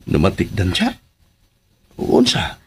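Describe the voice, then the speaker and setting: A man's voice: low-pitched, wildly swooping pitch, slow pace, slightly rough, exaggerated voice. A man playing a devoted, tender young husband in a radio drama, with a Cebuano Filipino accent. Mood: puzzled. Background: music.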